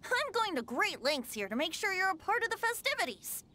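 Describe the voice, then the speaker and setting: A woman's voice: very high-pitched, big pitch swings, fairly quick, bright, forceful tone, heavily acted. A woman voicing a playful, theatrical youthful boy bard with a mock-formal manner in a video game. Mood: cheerful, grandiose. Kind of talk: video game character acting. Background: music.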